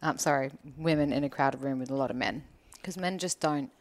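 Blunt young woman with a very low voice. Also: a little fast. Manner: blunt, opinionated, swearing. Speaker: podcast guest. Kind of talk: casual chat.

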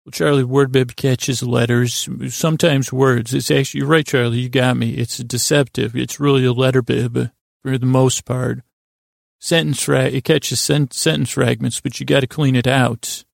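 Man as a gravelly, bumbling pitchman guest. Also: level tone, moderate pace, no room sound, studio quality, close to an everyday voice. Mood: flustered. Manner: flustered, insistent salesman patter. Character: bumbling, earnest male talk-show guest pitching a product.

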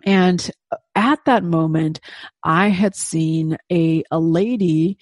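A woman whose first language is Indonesian tells a personal story in a calm, reflective way, often checking in with "right?". Her voice is mellow and sits a little low. The recording is close and dry. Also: slow pace, no echo. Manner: reflective, conversational, with frequent "right?" asides.

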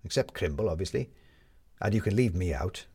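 A man voicing a brisk, determined young woman reporter in a storybook, challenging others with emphatic questions. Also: low voice, lively intonation, dark tone, husky, pitched below the performer's own voice, exaggerated voice. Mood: scolding. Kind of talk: storybook character dialogue.

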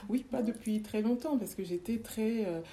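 A woman's voice: on the high side, normal speed, warm tone, slight background noise. A woman in her forties with a French accent, a guest in a casual interview, who talks with many hesitations and repeated words. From France. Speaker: guest, ritual practitioner and former nurse.

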